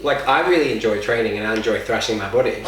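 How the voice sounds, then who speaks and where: A man's voice: lowish voice, fast. A young man hosting a casual podcast, easygoing and joking. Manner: easygoing, joking, laughs often. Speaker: podcast host. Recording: echoey room, distant mic, faint noise.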